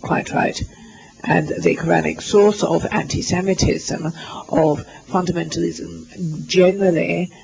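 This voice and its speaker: Male simultaneous interpreter with a high voice, halting delivery full of fillers and restarts, lecture setting. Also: fairly even intonation, creaky, darkish tone, slight background noise, rough recording. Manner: halting, with many fillers and restarts while rendering others' words. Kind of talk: live interpretation at a university lecture. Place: lecture hall.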